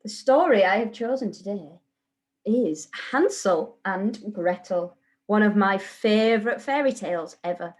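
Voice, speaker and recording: A woman reading a rhyming children's story in a deliberate, sing-song way, as a teacher would at story time. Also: mid-range voice, expressive.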